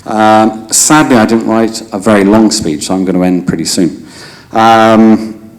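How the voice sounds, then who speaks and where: Low-voiced man rambling through a speech. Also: low-quality recording. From England. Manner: rambling, halting, self-deprecating. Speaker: election candidate. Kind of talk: loosely improvised hustings speech. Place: hotel function room.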